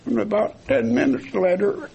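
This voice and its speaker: An older veteran man recalling his service slowly, in halting storytelling at a community gathering. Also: extremely high pitch, vocal fry, a little mellow, slightly rough, poor audio. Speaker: veteran honoree. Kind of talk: recalling memories.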